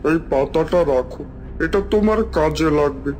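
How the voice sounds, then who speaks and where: A man's voice: a little high, normal speed, darkish tone, lightly acted. A man voicing a wise, patient magical tree that instructs and cautions in a cartoon fairy tale. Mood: instructing. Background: background music.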